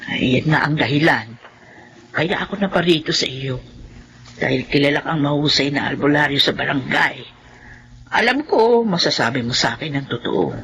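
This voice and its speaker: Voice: mid-range voice, expressive, moderate pace, a bit bright. An actor playing a worried, sorrowful older parent in a radio drama, speaking with pleading emotion. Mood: anxious.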